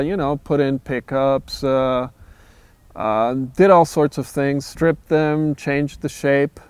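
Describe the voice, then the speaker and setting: Man, smooth and slightly high. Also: a little mellow. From Austria. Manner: thoughtful, measured, with frequent "uh" pauses. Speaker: guitar builder. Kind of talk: documentary interview, reflective storytelling.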